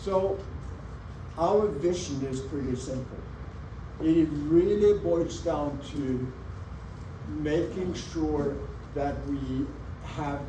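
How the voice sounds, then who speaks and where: A man from Norway with a Norwegian accent, giving an earnest, measured presentation over slides at a community meeting and stressing key words. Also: mid-range voice. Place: meeting room with an audience. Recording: echoey meeting room, mic at a distance, audible background noise.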